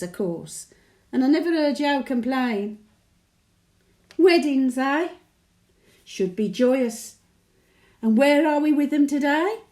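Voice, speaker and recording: A woman's voice: sits high, dark tone. A woman playing a chatty, sentimental elderly Birmingham widow with a Brummie accent, reminiscing in a folksy, plain-speaking monologue. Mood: tender, teasing, then rueful, chatty. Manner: folksy dialect, wistful and chatty. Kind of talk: dramatic monologue, conversational reminiscence.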